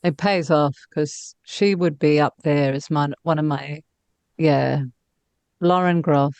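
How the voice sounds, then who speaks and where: A woman's voice: low voice, slow pace, darkish tone, dry recording. A woman in her fifties chatting casually as an interview guest, effusive and self-deprecating about her own work as a novelist. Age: 50s.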